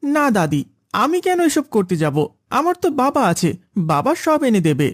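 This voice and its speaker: A woman's voice: on the high side, very animated, moderate pace, no echo, clean studio sound. A woman voicing a proud, pampered young boy in a moral cartoon, with a childlike, self-assured manner. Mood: defiant, boastful. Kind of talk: Islamic moral cartoon dialogue.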